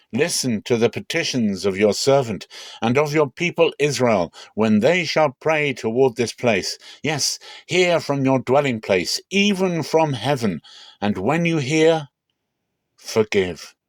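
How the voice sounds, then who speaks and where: A man reading scripture aloud as a solemn, expressive dramatic reading. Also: fairly high pitch, dry recording. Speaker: Bible reader.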